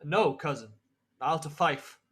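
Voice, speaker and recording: A man's voice: on the high side, takes it slowly, a bit bright, husky, exaggerated voice. A young man acting as an earnest narrator and nobleman in a Shakespeare radio play. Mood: somber. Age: young adult. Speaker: student actor, narrator and supporting parts.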